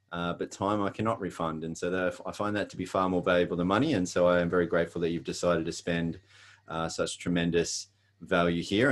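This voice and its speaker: A middle-aged Australian man giving an earnest motivational presentation, pausing often with fillers and restarts. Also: deep voice.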